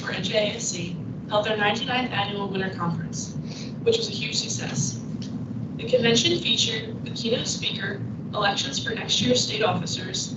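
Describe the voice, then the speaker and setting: A woman's voice: medium pitch, distant mic. Teen girl reading her report out, echoey faraway room, dull noisy stream. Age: teens. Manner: steady, even reading with clear emphasis. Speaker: student representative to a state board. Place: large meeting room.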